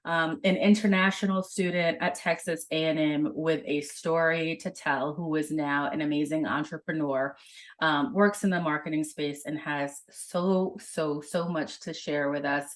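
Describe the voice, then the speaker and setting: A woman's voice: sits low, steady tone, a little slow. A woman hosting a podcast interview, enthusiastic and chatty, with many fillers. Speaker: podcast host.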